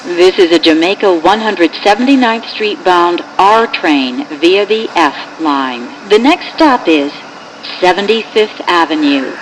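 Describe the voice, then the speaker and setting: An American woman reads transit announcements in a formal, segmented way. Her voice sits on the low side and moves very slowly from phrase to phrase. Clear vehicle and air-conditioning noise runs behind her. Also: animated, rough recording. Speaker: subway train announcer.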